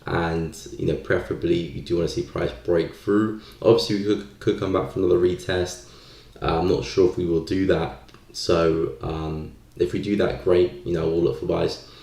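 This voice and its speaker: Man, very low pitch, dark mellow tone, casual live-stream host, hesitant delivery, echoey room. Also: steady tone, moderate pace, gravelly. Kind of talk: casual live market commentary. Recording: roomy, echoey sound.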